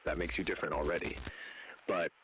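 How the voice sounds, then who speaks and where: A man playing an ominous agent who narrates an interactive horror warning in grave, deliberate, foreboding second-person script. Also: low-pitched, fairly even intonation, bright, forceful tone, a little hiss or hum, poor audio.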